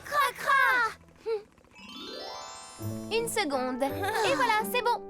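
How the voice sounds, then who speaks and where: Casting: caring little girl for kids' animation, extremely high, animated intonation, earnest. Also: drawn-out words, over music, heavily acted. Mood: alarmed. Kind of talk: French-dubbed children's cartoon.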